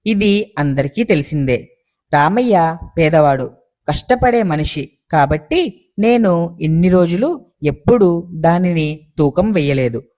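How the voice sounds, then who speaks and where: An actor playing a suspicious, indignant village baker in a moral story, quick to accuse others. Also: male voice, fairly high pitch, darkish tone. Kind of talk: acted dialogue in a moral story.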